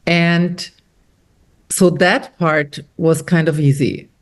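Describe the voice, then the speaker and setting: A middle-aged woman from Austria, an author talking about her novel in an interview, animated and quoting others as she tells stories. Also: deep voice, slow. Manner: animated storyteller, quotes others with lively shifts. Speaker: author guest. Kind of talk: conversational interview.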